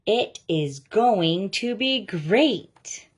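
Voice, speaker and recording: A woman reading a children's picture book aloud, with a deliberate, expressive storytelling delivery. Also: medium pitch, slightly rough. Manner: slow, deliberate, expressive delivery of rhyming lines. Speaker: story reader.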